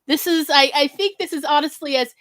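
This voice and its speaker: A woman's voice: sits high, fairly quick, a bit bright, dry recording. A woman in her forties with a Mississippi Southern accent, hosting a casual chat, chatty and reassuring, often calling people honey. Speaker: podcast host.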